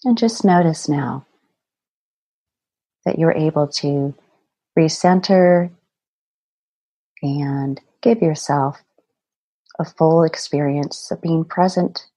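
Slow, low-voiced woman guiding meditation. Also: low-quality recording. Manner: gentle, soothing, deliberate phrasing with pauses. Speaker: meditation guide. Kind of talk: guided meditation.

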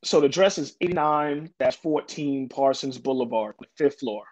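A man's voice: mid-range voice, moderate pace. A man, a guest in an interview, answering earnestly with many fillers and restarts. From Jamaica, Queens, New York. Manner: earnest, lots of ums and restarts. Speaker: development corporation president, guest. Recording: dull lo-fi audio.

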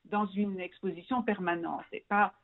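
Over a narrow, tinny phone line, a middle-aged woman from Belgium answers as an interview guest. Her pitch is medium, and she often restarts phrases as she searches for words. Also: steady tone, normal speed. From Brussels, Belgium. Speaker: magazine founder, interview guest.

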